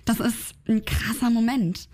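A woman with a somewhat high voice talks about the audiobook she directed. She explains with enthusiasm and energy. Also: steady tone, a little slow, a little mellow. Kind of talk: interview chat.

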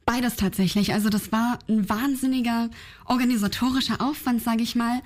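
A woman's voice: a little high, steady tone, a little fast. A woman who directed an audiobook, explaining her project enthusiastically in an interview. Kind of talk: interview chat.

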